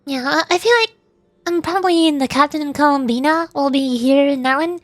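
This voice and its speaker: A woman's voice: high-pitched, fairly quick, dry recording. A woman VTuber streaming a game, giving casual commentary with rambling, playful asides.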